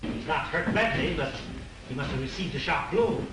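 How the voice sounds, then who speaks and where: An actor playing a helpful, matter-of-fact film studio staffer in 1930s movie style. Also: male voice, fairly high pitch, lively intonation, moderate pace, natural voice. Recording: echoey, distant, hissy 1930s film soundtrack.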